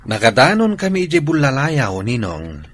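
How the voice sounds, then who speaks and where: An actor playing an earnest, soft-spoken husband who longs for children. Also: male voice, medium pitch, big pitch swings, normal speed, no room sound. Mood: cheerful. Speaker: husband working abroad. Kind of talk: Ilocano radio drama acting.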